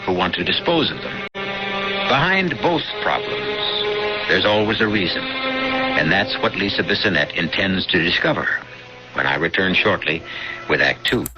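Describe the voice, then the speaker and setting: A man hosting a radio mystery drama with measured, theatrical, ominous scripted narration. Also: sits low, very animated. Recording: dull radio recording with music.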